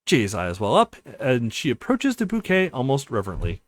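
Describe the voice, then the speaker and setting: Expressive man narrating, widely swinging pitch. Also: moderate pace. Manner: expressive, dramatized reading. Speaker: let's play host. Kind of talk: reading a visual novel aloud, narration and dialogue.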